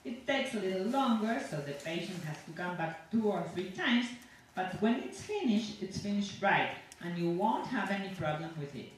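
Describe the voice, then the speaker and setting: A woman's voice: mid-range voice, animated, normal speed, natural voice. A woman reading a story aloud as a chatty, self-satisfied, sinister dentist who rambles on with cheerful reassurance to a patient. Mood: matter-of-fact, reassuring. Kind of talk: dramatic monologue read aloud to an audience. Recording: echoey room from far off, faint noise.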